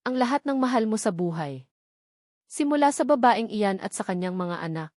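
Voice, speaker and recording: A cold, menacing businessman villain from a romance audio story, read out by a woman narrator. The threats come at a medium pitch in a very dry recording. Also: lively intonation, moderate pace, darkish tone, dry recording, natural voice. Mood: cold menace.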